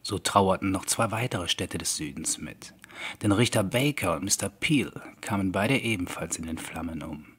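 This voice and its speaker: Low, husky male storyteller. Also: normal speed. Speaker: audiobook narrator. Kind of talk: scripted horror story narration. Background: soft background music.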